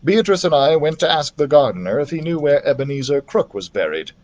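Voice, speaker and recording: A man reading a children's adventure novel aloud as a story-time host, with an animated, theatrical delivery. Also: fairly high pitch, a little fast, highs cut off.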